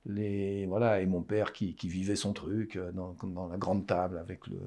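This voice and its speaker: A man in his sixties with a Parisian French accent, an author talking about his writing in a hesitant, halting way in a casual interview. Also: sits low, level tone, dark tone. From France. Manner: hesitant, halting, many restarts.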